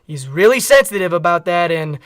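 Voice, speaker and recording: A streetwise old-hand henchman in a comic radio play. His voice is high and recorded very dry, with an easygoing, colloquial, chummy delivery. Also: very animated, a little fast, fairly bright tone, dry recording. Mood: confiding, matter-of-fact. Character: easygoing streetwise veteran henchman, male, loyal to boss. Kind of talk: comedic radio theatre acting.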